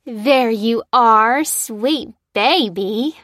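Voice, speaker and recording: A woman's voice: medium pitch, moderate pace, a bit bright, no room sound, clean studio sound, lightly acted. A woman voicing a playful, gushing mother of thirty, cooing and stretching out her words. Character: gushing, playful thirty-year-old Southern American mother.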